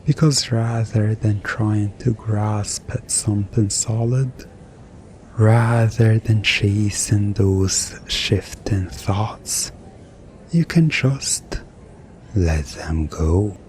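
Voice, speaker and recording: Guy acting as a calm hypnotist for asmr, super slow hushed lulling talk, bit of background noise. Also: on the low side. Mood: musing, soothing. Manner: slow, hushed, lulling delivery with long pauses. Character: soothing male stage hypnotist, calm and gently coaxing. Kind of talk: hypnosis ASMR roleplay with narration.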